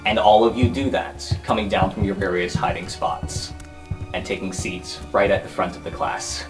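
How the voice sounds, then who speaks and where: Low-voiced male game master. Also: moderate pace. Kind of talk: narrating a tabletop roleplay podcast. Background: background music.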